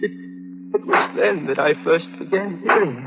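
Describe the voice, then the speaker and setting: Fervent, high-voiced young murderer, old radio. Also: male voice, moderate pace, creaky. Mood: haunted. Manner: melodramatic, stammering, fervent. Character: tormented, desperate young murderer confessing. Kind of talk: 1940s radio suspense acting. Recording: muffled, noisy old radio broadcast.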